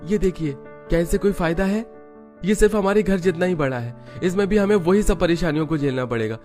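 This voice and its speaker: A man's voice: high-pitched, fairly quick, darkish tone, soft background music, pitched above the performer's own voice, natural voice. A man voicing a skeptical, complaining grown son in a family cartoon. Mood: dismissive complaint. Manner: complaining, dismissive.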